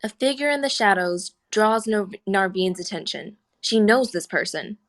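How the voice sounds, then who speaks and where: A woman's voice: mid-range voice, moderate pace, fairly bright tone. A woman reading scripted screenplay action lines as the narrator of a table read.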